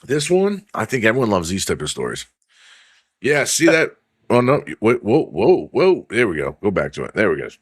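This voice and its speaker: A man hosting a casual sports podcast, rambling and swearing as he reads news aloud with his own asides, his voice going hoarse. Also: fairly low pitch, wildly swooping pitch, moderate pace, no room sound, studio quality. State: losing his voice. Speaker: podcast host.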